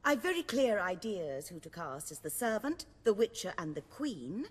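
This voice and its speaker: A seasoned, refined stage director from a fantasy game. She sounds husky at a medium pitch. Her phrasing is measured, articulate and a little theatrical, carried on long reverb. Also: very animated. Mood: pleased, considering. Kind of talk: video game dialogue acting.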